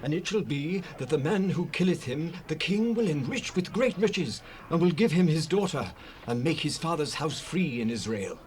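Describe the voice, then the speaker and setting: An actor playing an excited ancient Israelite soldier, declaiming urgently in a dramatized Bible recording. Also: male voice, on the high side, moderate pace, close to an everyday voice. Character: excited Israelite soldier spreading alarming news, male. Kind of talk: dramatized Bible audio acting. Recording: faintly noisy cassette rip.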